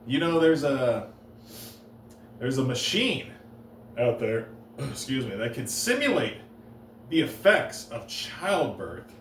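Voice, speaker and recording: A man delivering dry, deadpan one-liners on stage, pausing and stumbling a little before each punchline. His voice sits at an ordinary middle pitch and rings in a roomy space, picked up from a bit back, with faint room noise behind him. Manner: deadpan, halting, with restarts and pauses. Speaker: stand-up comedian. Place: comedy stage. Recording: roomy live room, heard from a distance.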